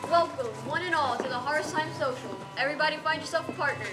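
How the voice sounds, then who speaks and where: A woman's voice: high voice, quick pace, roomy sound, over music, natural voice. A woman playing a cheerful, nudging host of a country dance in a stage play. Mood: rousing. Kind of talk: stage play, announcing and dialogue.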